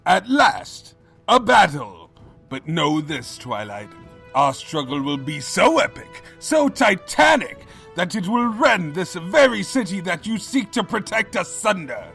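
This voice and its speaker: A man's voice: high-pitched, sing-song, a little slow, gravelly, a bit bright, soft background music, exaggerated voice. A man playing a pompous, scheming evil pony king in a theatrical, grandiose manner, in a fan fiction audio drama. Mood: grandiose, challenging.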